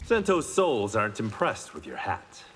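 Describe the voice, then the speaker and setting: A proud, dry blind swordsman from a fighting game, terse and guarded. His lowish voice moves expressively, inside long reverb with loud noise behind. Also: wildly swooping pitch, moderate pace. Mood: stern, dry. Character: stoic blind swordsman, reformed male criminal, proud and dry. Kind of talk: video game pre-fight banter.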